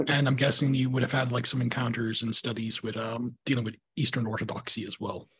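A man's voice: medium pitch, flat delivery, a little fast, a bit bright, slight background noise. A man hosting a podcast interview over a video call on a headset mic, chatty with many fillers. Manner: chatty, many ums and likes. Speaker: podcast host. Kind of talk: casual interview. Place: home room, video call.

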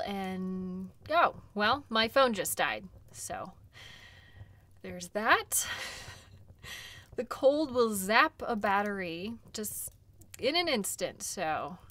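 A woman playing a caring, chatty dog-sled guide in an audio role play, gentle and reassuring, with conversational pauses. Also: medium pitch. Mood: upbeat, casual.

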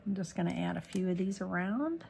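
A hoarse woman talks casually through a stamping tutorial at her desk, rambling with asides as she works. Her voice sits a bit low and has a gravelly edge. A faint hiss runs under the recording. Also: expressive, a little fast, darkish tone. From Missouri, USA. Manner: chatty, rambling, lots of ums and asides. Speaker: craft demonstrator. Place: craft desk indoors.

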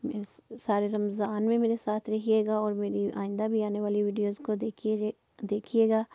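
A woman's voice: medium pitch, monotone, normal speed, warm tone, no room sound, highs cut off. A middle-aged woman, giving a casual, rambling voice-over as a home cooking vlogger. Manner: conversational, rambling.

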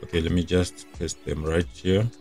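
Young man, low pitch, informal tutorial presenter, explanatory, faint music behind. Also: little pitch movement, moderate pace, creaky, darkish tone. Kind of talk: casual step-by-step screen tutorial.

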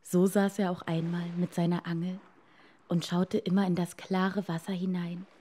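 A woman storyteller reading a fairy tale for bedtime in an expressive, dramatic way. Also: lowish voice, normal speed. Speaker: storyteller, podcast host.

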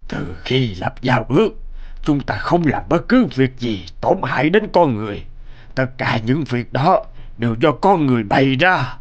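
A man voicing an arrogant hulking male demon king who speaks in a scornful, commanding way, in an animated folk tale. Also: medium pitch, normal speed, lo-fi sound. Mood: stern.

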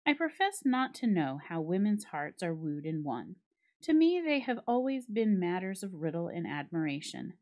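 A woman reading a classic story aloud as a storyteller, with expressive, carefully phrased dramatic delivery. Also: lowish voice. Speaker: library staff storyteller.

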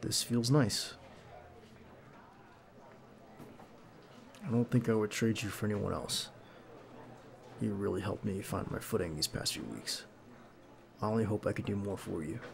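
A man playing a nervous, devoted bodyguard on a date in an intimate ASMR roleplay, speaking softly and hesitantly with some gentle teasing. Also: on the low side, normal speed, crowd noise. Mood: tender. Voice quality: creaky. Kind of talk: ASMR roleplay acting, intimate one-sided dialogue.

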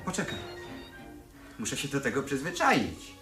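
A man acting in a radio play as an excitable, bewildered young tenant who tells his story with animated wonder. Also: mid-range voice, normal speed, reverberant, soft background music. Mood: astonished.

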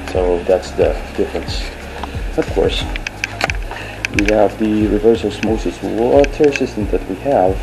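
A man in his thirties from the Philippines with a Filipino accent, rambling casually to camera with many fillers, recorded on the camera's own mic in a small bedroom. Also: lowish voice. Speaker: vlogger. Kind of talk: casual vlog talk to camera. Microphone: camera mic at desk distance. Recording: echoey room with loud music.

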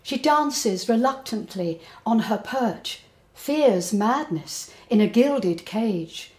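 An older woman giving a dramatic, theatrical recitation of poetry in a measured way. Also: fairly high pitch, expressive, darkish tone. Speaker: poet performing her own poem. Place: indoor room before a folding screen. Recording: roomy, echoing indoor sound.

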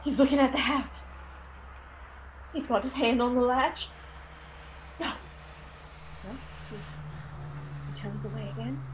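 A woman's voice: fairly high pitch, a little slow, pauses often, very noisy, close to an everyday voice. A woman playing an anxious, superstitious older mother with folksy phrasing in a radio drama. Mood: anxious, watchful.

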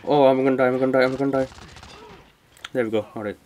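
A man's voice: medium pitch, fairly even intonation, fast, slight background noise. A man giving casual, slangy let's play commentary as a gaming host, muttering reactions, with the microphone a little away from him. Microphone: microphone not close to the speaker.

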